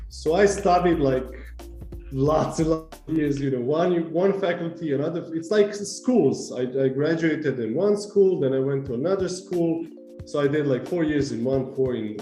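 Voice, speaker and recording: A man, talking in a relaxed, chatty way about his own path. His voice is dark and mellow. He is recorded in an echoey room. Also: medium pitch. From Serbia. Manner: chatty, easygoing, self-correcting storyteller. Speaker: university lecturer. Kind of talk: casual interview answers.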